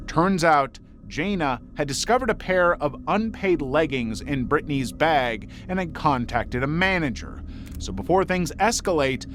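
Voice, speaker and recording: A man's voice: medium pitch, fairly bright tone. A man reading scripted true crime documentary narration. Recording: faint music bed behind narration. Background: music.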